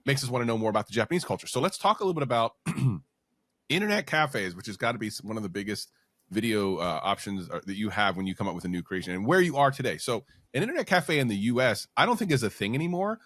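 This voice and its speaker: A male podcast host speaks in a low voice that moves around animatedly. He is talkative and chatty, handing out compliments and gentle teasing in a close, dry-sounding recording. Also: animated, fast talker, no room sound. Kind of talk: casual interview chat.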